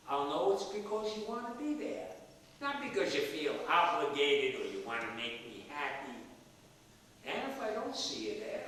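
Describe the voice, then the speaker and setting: A man's voice: a little high, fairly bright tone. An older man acting on stage as a roguish, stubborn, affectionate elderly father, pleading his case with theatrical persuasion. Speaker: stage actor. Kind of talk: stage play dialogue. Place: theatre stage, recorded from the audience area. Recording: echoey theatre hall, distant mic, faint noise.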